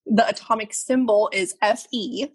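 A woman's voice: medium pitch, fairly even intonation, normal speed, breathy edge, poor audio. A woman in her twenties co-hosting a casual podcast, laughing and swearing as she reads facts aloud. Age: 20s. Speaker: podcast co-host.

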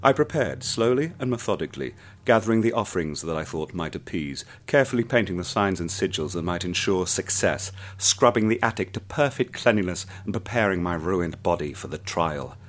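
An actor narrating as a ruined, obsessive man, telling his tale slowly and grimly in first person. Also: low voice, animated. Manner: slow, grim first-person storytelling. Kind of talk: radio play narration. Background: music.

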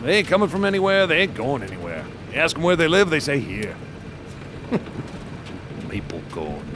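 A wisecracking old-time trooper detective who laughs through his own tall tales, his voice lively and springing up and down. A car engine rumbles steadily behind him in this radio play. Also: moderate pace, noisy background. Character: boastful wisecracking male state trooper detective. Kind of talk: radio drama acting.

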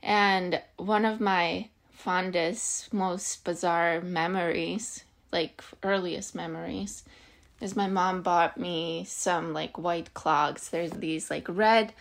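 A woman in her thirties from Bosnia, a podcast guest sharing personal stories in a conversational, halting way. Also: fairly low pitch, steady tone, vocal fry. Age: 30s. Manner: conversational, halting, often says "like" and "you know". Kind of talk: casual personal storytelling.

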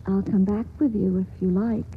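A woman's voice: mid-range voice, steady tone, normal speed, lightly acted. An actress playing an impulsive young woman with a coquettish, petulant manner, in 1940s radio acting style. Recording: muffled old radio broadcast, faint hiss.

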